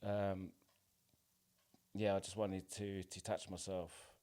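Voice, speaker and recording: A man's voice: sits low, flat delivery, slow pace, mellow. A man in his forties speaking as a podcast guest in reflective, casual interview chat, with a hesitant, informal manner. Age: 40s. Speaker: podcast guest, playwright and actor.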